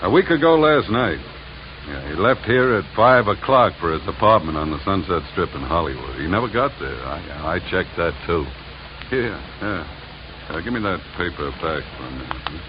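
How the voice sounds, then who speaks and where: An actor playing a gruff, world-weary oil field operator in a 1950s radio drama, terse and halting. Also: male voice, low-pitched, sing-song. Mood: curt, weary. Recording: muffled vintage radio, noisy background.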